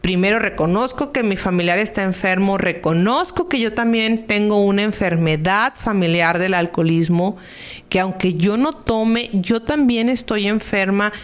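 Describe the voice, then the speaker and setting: A woman's voice: medium pitch, normal speed. Woman explaining in a conversational, didactic way. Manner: didactic, asks and answers own rhetorical questions. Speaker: Al-Anon member giving an informational talk. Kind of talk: explanatory radio talk, conversational.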